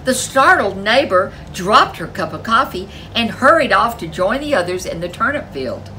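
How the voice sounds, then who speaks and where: A woman's voice: mid-range voice, sing-song, moderate pace, bright, forceful tone, a little hiss or hum, poor audio. A woman storyteller reading a folk tale aloud in a dramatic, emphatic way. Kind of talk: animated folk tale narration for children.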